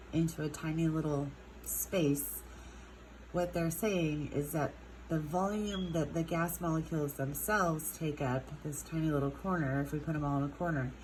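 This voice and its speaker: A woman teaching a chemistry lesson with a stuffed-up nose, speaking unhurriedly with many ums and drawn-out words. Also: low-pitched, fairly even intonation, slight background noise, poor audio. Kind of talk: recorded classroom lesson.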